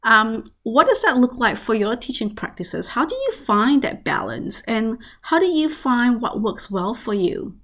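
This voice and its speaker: A woman's voice: on the high side, very animated, measured pace, hardly any pauses, a bit bright. A woman from Malaysia hosting a podcast, supportive, asking long winding questions. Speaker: podcast host. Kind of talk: interview hosting.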